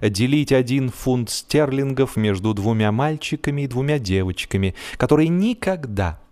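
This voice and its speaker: A man reading a humorous story as an expressive, scripted narration, in a measured, theatrical storytelling manner. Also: mid-range voice. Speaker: audiobook narrator. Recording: music behind the voice. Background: music.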